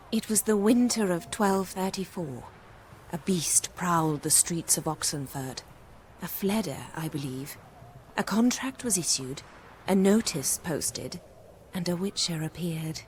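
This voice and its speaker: An older, genteel countess played by an actress speaks in a fairly low register. She is refined and wistful, choosing formal, measured words, in the manner of a fantasy game drama. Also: slight background noise, close to an everyday voice. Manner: formal, elegant, measured speech. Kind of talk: video game voice acting.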